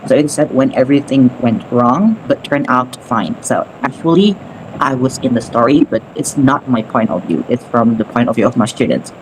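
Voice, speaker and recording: A man, an English teacher, tells a story loosely and chattily, filling gaps with "like" and "um". His voice sits at an everyday middle pitch. Steady noise runs behind him on the call. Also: normal speed. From Indonesia. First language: Sundanese. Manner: chatty, loose, with many fillers. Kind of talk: casual storytelling in a meeting. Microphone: video call. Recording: noisy background.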